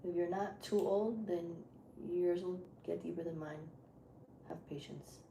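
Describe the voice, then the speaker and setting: A woman live streamer with a deep voice, chatting casually with her viewers while she sets up a game. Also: fairly even intonation, unhurried, creaky. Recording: echoey room with loud background noise.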